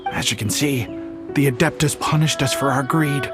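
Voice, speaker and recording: A man's voice: medium pitch, moderate pace, natural voice. A man voicing a sheepish, repentant poor treasure hunter in a video game, speaking earnestly. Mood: contrite. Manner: contrite, earnest. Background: game music.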